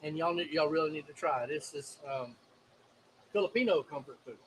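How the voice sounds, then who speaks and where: A man's voice: a little high, brisk, a bit bright, a little hiss or hum, rough recording. A man, co-hosting a casual chat in an easygoing, chuckling way. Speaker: co-host.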